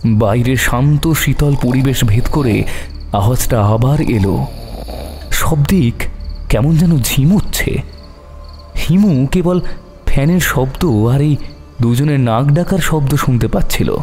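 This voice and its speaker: A man narrating a horror story dramatically, with suspense and long pauses. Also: mid-range voice, a little mellow. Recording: long hall reverb over music.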